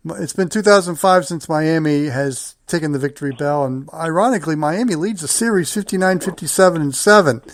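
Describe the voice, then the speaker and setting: Higher-pitched man hosting a sports show. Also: fairly quick, few pauses. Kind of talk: sports rundown and conversation.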